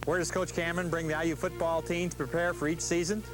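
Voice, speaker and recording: Higher-voiced male ad announcer over music. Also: level tone, quick pace. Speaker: commercial announcer. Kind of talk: scripted ad narration. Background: music bed.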